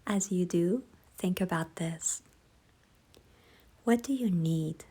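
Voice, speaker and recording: A woman leading a guided visualization in a soft, hushed, breathy voice, gentle, unhurried and soothing. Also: on the low side. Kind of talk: slow guided meditation narration.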